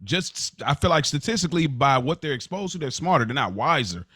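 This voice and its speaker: A man's voice: a little high, very animated, brisk, pushed and bright, slightly rough, no room sound, clean studio sound. A man co-hosting a podcast, debating casually and earnestly, often restarting and repeating his point. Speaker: podcast co-host.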